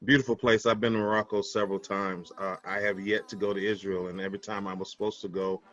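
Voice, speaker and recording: A man hosting a relaxed interview, chatting in a friendly, joking way with an old friend. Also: fairly low pitch, fast, muffled recording. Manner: friendly, joking, asks warm follow-up questions. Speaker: host. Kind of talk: casual interview chat.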